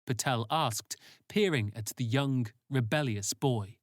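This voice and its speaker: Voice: mid-range voice, unhurried, no echo, clean studio sound. An author reading their own story aloud as scripted audiobook narration.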